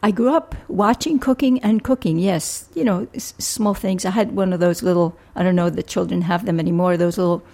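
An older woman from Rico, a family physician answering interview questions in a measured, thoughtful way. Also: fairly low pitch, creaky, darkish tone. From Puerto Rico. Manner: measured, thoughtful, with frequent restarts.

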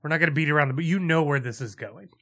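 A man's voice: a little high, rapid-fire, pushed and bright. A man co-hosting a casual movie review podcast who talks bluntly and teases his co-host with crude jokes.

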